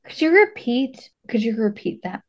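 A woman's voice: on the high side, very animated, normal speed. A woman chatting casually as a podcast co-host and quiz contestant. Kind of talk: casual chat.

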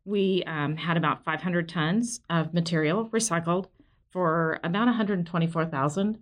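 A woman acting as an account manager with a low-pitched voice. She is upbeat and reassuring, talking a client through results in a businesslike, conversational way, as in an informal radio play. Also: normal speed, close to an everyday voice. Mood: explaining, pleased. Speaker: project manager at a materials recovery company. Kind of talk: role-played business meeting, conversational.

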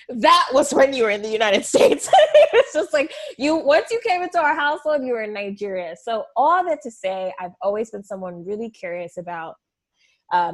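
Young woman from Southern California, podcast guest, eager and talkative. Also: mid-range voice. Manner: enthusiastic, talkative, laughs often. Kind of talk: casual interview chat.

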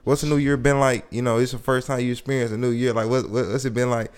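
A young man from the Southern US hosting a casual podcast, talking in laid-back slang with halting restarts. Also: mid-range voice, little pitch movement, smooth. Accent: Southern US, Atlanta area. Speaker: podcast host. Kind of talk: casual chat.

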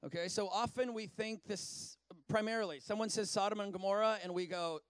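A man in his forties preaches in a lively, chatty way, swinging from scripture reading into excited asides. His voice sits high and carries smooth, resonant. The sound is dry and close. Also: fairly quick, no echo. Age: 40s. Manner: animated, conversational preaching with asides. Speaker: pastor. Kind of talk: sermon.

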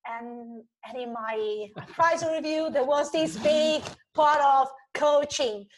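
A woman's voice: high-pitched, a little slow, a little hiss or hum, low-quality recording. A woman from Italy with an Italian accent, answering interview questions in a reflective, conversational way with self-deprecating humour. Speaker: guest, leadership coach.

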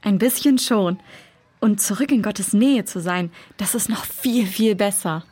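A firm but loving foster mother played by a woman in a children's audio play. She sounds calm and reassuring, husky, in a very dry studio recording. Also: medium pitch, a little slow, no echo. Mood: gentle. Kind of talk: children's audio drama acting.

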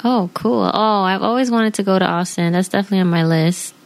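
Casual American woman with a creaky voice. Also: medium pitch, fairly quick. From New York, United States. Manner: informal, slangy. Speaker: podcast host. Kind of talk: casual podcast chat.

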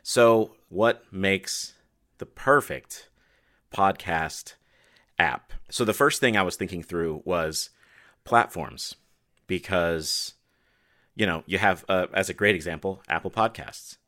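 A man's voice: deep voice, slow pace, pushed and bright, dry recording, clean studio sound. A man in his thirties hosting a casual tech podcast, sounding nasal from a head cold and chatting freely with many restarts. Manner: chatty, talks a lot with restarts. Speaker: podcast host.

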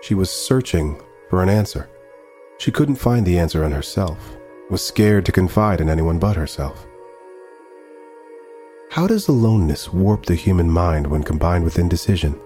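An actor playing a polite, introspective AI robot companion with a measured, formal way of talking, in a scripted sci-fi audio drama. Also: male voice, deep voice, expressive, warm tone, soft background music. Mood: brooding. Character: emotional, polite male AI robot companion, introspective and easily slighted.

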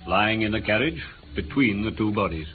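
An actor playing a stolid, courteous police inspector with a British accent, matter-of-fact and deferential, in a vintage radio drama. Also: male voice, fairly low pitch, lively intonation, moderate pace, lightly acted. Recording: tinny, noisy vintage radio recording.

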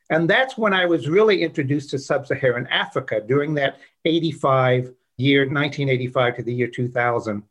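A man in his sixties, a guest on an interview show, telling his life story in a genial, folksy way. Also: mid-range voice. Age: 60s. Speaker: guest, investor.

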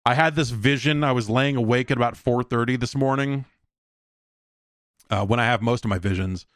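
A man's voice: medium pitch, fairly bright tone, dry recording. A man in his late forties hosting a podcast alone, rambling casually with frequent swearing and self-deprecating comic asides, close on the microphone. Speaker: podcast host.

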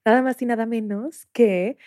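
A woman's voice: a little high, fairly even intonation, normal speed, warm tone, dry recording, studio quality. A woman hosting a podcast interview, enthusiastic and chatty with casual slang. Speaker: podcast host.

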